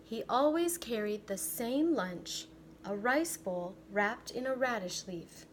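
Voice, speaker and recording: An American woman, a teacher, reading a picture book aloud with deliberate, expressive phrasing meant for children. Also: fairly high pitch, a little hiss or hum. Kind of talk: read-aloud storytelling.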